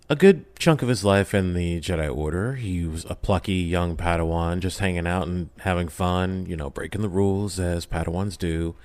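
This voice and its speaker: A man with a deep, low-pitched voice speaks in a close, dry recording. He talks like an interview guest recapping a saga, informal and slangy, leaning into dramatic emphasis as he tells it. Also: no echo. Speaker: podcast guest, audio drama creator. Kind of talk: casual interview talk, recapping a story.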